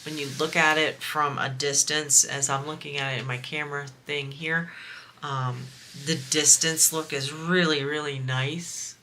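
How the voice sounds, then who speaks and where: A woman's voice: sits low, steady tone, a little slow, bright, forceful tone, slight background noise. A woman from the US East Coast with a nasal voice, chatting in a rambling way while she works on a craft project for her vlog. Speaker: crafting vlogger. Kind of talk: casual chat while diamond painting.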